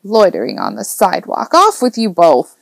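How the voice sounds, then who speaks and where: Woman voicing a fussy, kindly old lady, raised pitch. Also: sing-song, a little slow, creaky, no echo, studio quality, full character voice. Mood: fussing, scolding. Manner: exclamatory, doting, gently scolding. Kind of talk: audiobook character dialogue.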